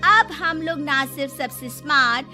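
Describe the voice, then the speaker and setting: A cocky young college girl from a cartoon moral story, acted by a woman. Her voice is high and bright, and she takes her time over each boast. Music plays behind her. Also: lively intonation, unhurried, exaggerated voice. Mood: boastful. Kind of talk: animated moral story dialogue.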